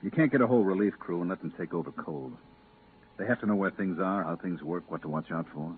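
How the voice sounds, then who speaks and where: An actor playing a stern, weary moon-base pilot with a clipped, authoritative manner, in a 1950s radio drama. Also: male voice, low voice, fast talker, natural voice. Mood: matter-of-fact. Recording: tinny, hissy 1950s radio recording.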